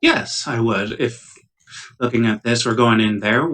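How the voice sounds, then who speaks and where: A man playing an assertive starship medical officer in a tabletop game, brisk and matter-of-fact. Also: medium pitch, a bit bright, natural voice. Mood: businesslike.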